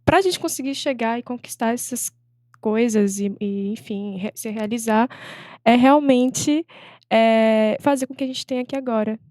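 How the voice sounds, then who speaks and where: A young woman speaks as a podcast guest, her voice mellow and soft-edged. She talks in a thoughtful, searching way, circling back on her words. The sound is dry and close. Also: a little high, normal speed, no room sound. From Salvador, Brazil. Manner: reflective, many fillers and restarts. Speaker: guest artist. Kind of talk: casual interview chat.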